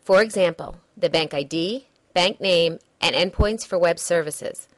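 A woman reading a scripted software demo narration in a measured, instructional way. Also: on the low side, no echo.

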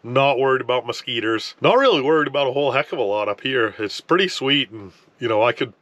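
A man's voice: medium pitch, fast talker, no echo, highs cut off. A man in his thirties talking casually to camera from inside an ice fishing tent, rambling with restarts and filler words. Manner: relaxed, rambling, frequent restarts and "you know". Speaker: fishing vlogger. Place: ice fishing tent on a frozen lake, wind outside.

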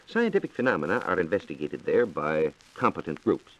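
An American man explaining science in a measured, formal way on a 1940s radio program. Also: sits low, big pitch swings, lo-fi sound. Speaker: science reporter and radio co-host. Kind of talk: 1940s radio science talk, answering questions. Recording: 1940s radio transcription disc.

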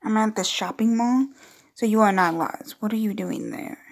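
Woman playfully commentating a game, creaky voice. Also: medium pitch, moderate pace. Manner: playful, chatty, amused asides. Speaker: gaming video creator. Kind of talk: casual gameplay commentary, reading on-screen dialogue aloud.

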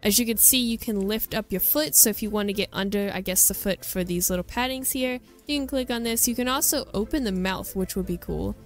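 A woman presents a game showcase in an informal, chatty way. Her voice sits at a middle pitch for a woman. Soft music plays behind her casual commentary. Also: fairly quick, hardly any pauses. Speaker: gaming video host.

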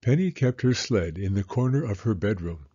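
A man narrating a children's read-aloud story in an expressive, deliberate manner. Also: on the low side, husky, lo-fi sound.

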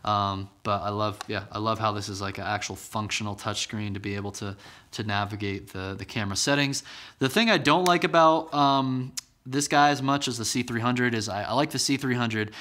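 A man's voice: on the low side, fairly even intonation, moderate pace, sharp tone. A man in his thirties hosting a casual gear review to camera, talking conversationally with fillers and restarts, recorded in a small studio room on a camera-mounted mic. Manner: conversational, many ums and restarts, enthusiastic. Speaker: church media channel host. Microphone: camera-mounted microphone.

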